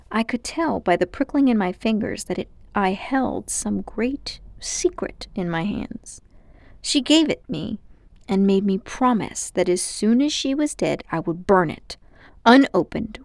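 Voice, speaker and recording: A woman's voice: mid-range voice, expressive, a little slow, creaky, darkish tone, dry recording. A woman voicing a pompous, condescending, scheming old man, a self-important magician uncle in a storybook. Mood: secretive, self-satisfied. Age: older. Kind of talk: storybook character voice.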